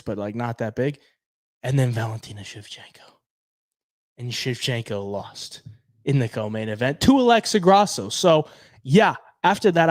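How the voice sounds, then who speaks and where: A man's voice: fairly high pitch, a little mellow, no echo, clean studio sound. A man hosting an MMA betting show, chatting casually with viewers and reading their comments in a laid-back, slangy way, sounding stuffy as he loses his voice. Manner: laid-back, slangy, says "bro" often. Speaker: MMA betting podcast host.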